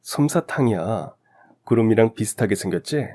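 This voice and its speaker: A man's voice: fairly low pitch, lively intonation, normal speed, mellow. A man voicing a devoted, affectionate young husband and doting father in a radio story drama.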